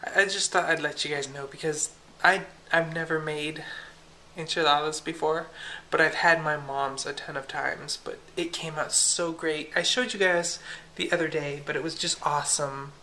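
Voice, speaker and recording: A woman's voice: low voice, steady tone, normal speed, sharp tone, a little hiss or hum. A young woman vlogging at home at night, talking casually and giggling to a handheld camera. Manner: chatty and giggly, lots of fillers. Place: home kitchen at night, then living room. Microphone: camera held at arm's length.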